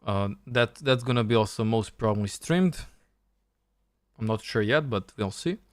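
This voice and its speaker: Low-voiced Serbian man explaining chess. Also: lively intonation, moderate pace, dry recording, clean studio sound. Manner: thinks aloud, explains step by step. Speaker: chess streamer and coach. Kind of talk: live stream chess commentary and teaching.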